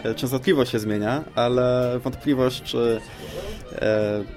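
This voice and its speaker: A man's voice: medium pitch, fairly even intonation, takes it slowly. A man chatting casually as a podcast guest, with wry and somewhat hesitant answers. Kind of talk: casual chat. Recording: music behind the talk. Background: music.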